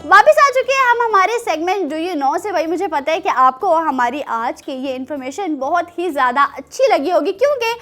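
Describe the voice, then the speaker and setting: Kids' show host: Pakistani-accented woman, very high pitch, expressive storytelling delivery, background music. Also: normal speed, few pauses. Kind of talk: animated storytelling and presenting for children.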